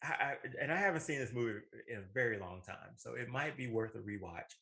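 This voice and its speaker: A man's voice: mid-range voice, animated, a little fast, gravelly, pushed and bright. A man in his thirties co-hosting a casual show, talking informally and often circling back to repeat himself. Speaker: co-host of a movie and video game show. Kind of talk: casual conversational banter.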